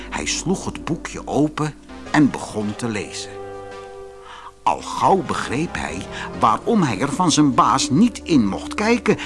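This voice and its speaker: A man reading a fairy tale for children in an expressive narrating style. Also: medium pitch.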